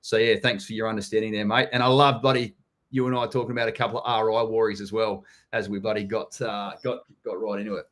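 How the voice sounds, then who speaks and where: A man's voice: lowish voice, flat delivery, fast, a bit bright. A man with an Australian accent hosting a casual live chat, blunt and swearing freely, calling everyone mate. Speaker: host.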